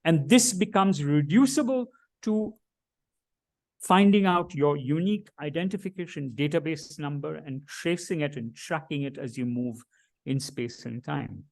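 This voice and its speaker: A man with a slightly high voice gives a conference talk about his art. He speaks in a measured, reflective way and builds his ideas step by step. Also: gravelly, darkish tone. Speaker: artist presenting his work. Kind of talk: conference presentation.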